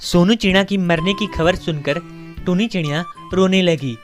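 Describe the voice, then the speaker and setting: Low-pitched woman narrating a children's story. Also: normal speed, faint music behind.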